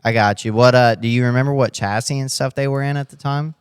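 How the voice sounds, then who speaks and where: A man's voice: mid-range voice, fairly even intonation, fast, clear, resonant tone, no room sound, studio quality. A man hosting a casual racing podcast interview, rambling and restarting his questions as he goes. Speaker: podcast host.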